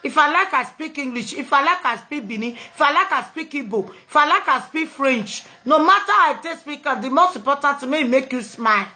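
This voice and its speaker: A Nigerian woman with a high, bright-edged voice hosts a live online show. She slides easily between pidgin and other tongues, chatting with callers and laughing often. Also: lively intonation, fairly quick, few pauses. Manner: mixes pidgin, English and local languages, laughing easily. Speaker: host of an online live program. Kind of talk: casual live chat with callers.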